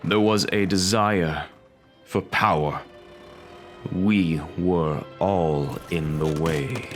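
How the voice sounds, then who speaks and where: Ominous male monster villain, radio drama: deep, unhurried, cold, menacing. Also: faint music behind. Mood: cold menace. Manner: slow, menacing, pronouncing.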